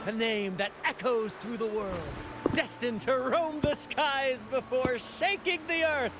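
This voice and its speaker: A man's voice: extremely high pitch, a little slow, over music. An actor playing a boisterous, theatrical young male carnival performer in a video game, declaiming grandly and rousingly. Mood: rousing, jubilant.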